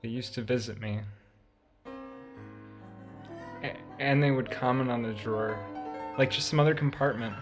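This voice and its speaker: A man playing an obsessive, increasingly unhinged narrator in a deliberate, melodramatic radio drama monologue. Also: medium pitch, natural voice. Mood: mounting disgust. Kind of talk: scripted first-person radio drama monologue. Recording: loud music under the voice. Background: music.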